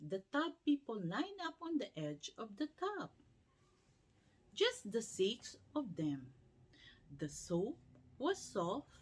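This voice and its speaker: A woman reading a children's bedtime story aloud in an unhurried, expressive storyteller's manner. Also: on the high side.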